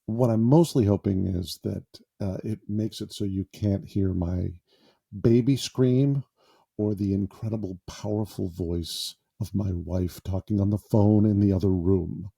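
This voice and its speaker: Brief: male podcast host, self-deprecating and jokey, unhurried delivery, very dark tone. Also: lowish voice, gravelly, slightly rough. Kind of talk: improvised casual podcast monologue. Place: small closet padded with sound foam.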